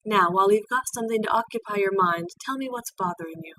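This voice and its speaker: A woman voicing a gentle, motherly pony queen, calm and formal, in a storybook reading. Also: medium pitch, fast talker, close to an everyday voice. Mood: gently probing. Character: ageless female pony ruler, gentle, motherly, regal mentor. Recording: distant mic with background noise.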